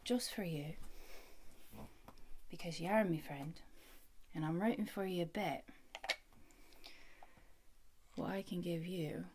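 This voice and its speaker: Woman playing a slow, soft-spoken folk-tale rogue. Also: lowish voice, a little hiss or hum, close to an everyday voice. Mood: encouraging. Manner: soft, intimate, often whispering, rustic dialect phrasing. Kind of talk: ASMR roleplay. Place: small wood-panelled room indoors. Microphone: phone at close range.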